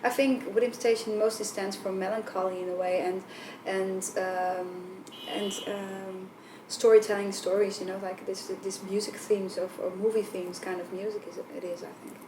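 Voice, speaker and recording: A woman's voice: medium pitch, flat delivery, normal speed, hardly any pauses. Woman, Dutch accent, light voice, casual interview chat, distant mic, faint hiss. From Netherlands. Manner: chatty, many fillers and restarts. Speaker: band vocalist. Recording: roomy, far from mic, faint noise.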